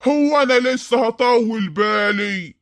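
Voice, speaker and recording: A man's voice: very high-pitched, a little slow, fairly bright tone, raised voice. A man voicing a grumpy, impatient, demanding neighbour in a comic cartoon, with an Egyptian accent and a complaining, confrontational manner. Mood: angry, demanding.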